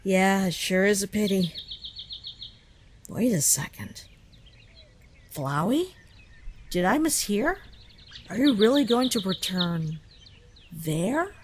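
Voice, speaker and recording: A woman voicing a kind, concerned human child in a comic dub, gentle, earnest and thoughtful. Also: medium pitch, sing-song, slow, pauses often, background noise. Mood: worried. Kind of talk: scripted comic dub acting.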